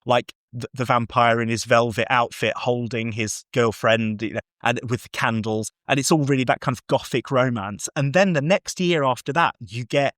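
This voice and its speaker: A man with an average-pitched voice talks in a loose, rambling way, like a keen fan hosting a podcast. He is enthusiastic and casually sweary. The sound is dry, with very little room in it. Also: moderate pace, hardly any pauses, fairly bright tone, no echo. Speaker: podcast host. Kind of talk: casual film and TV discussion.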